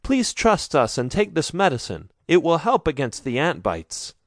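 A bright, eager young village woman, sweet and curious, tossing out excited questions in a high, lively voice, as a man reading a story puts her on. The sound is dry and close. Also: normal speed, dry recording. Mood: cheerful.

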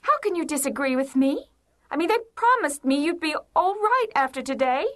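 A woman playing a nagging, demanding, exasperated wife in an audio drama, scolding and sharp-tongued. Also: sits high, lively intonation, normal speed.

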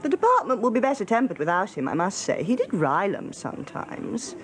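An actress playing a jolly, slangy office copywriter in a British radio drama, with an upper-class English accent and a brisk, frank, drily witty manner. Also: female voice, mid-range voice, wildly swooping pitch.

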